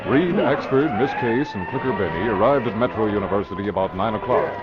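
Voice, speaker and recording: A man's voice: on the low side, wildly swooping pitch, a little fast. A man giving scripted narration for an old-time radio drama in a formal, dramatic storytelling manner. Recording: muffled old radio recording with music.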